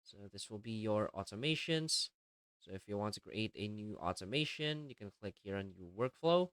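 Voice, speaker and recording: A man narrating a step-by-step software tutorial in a plainly explanatory, instructional way. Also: fairly low pitch, expressive, moderate pace, clean tone, no echo. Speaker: tutorial presenter.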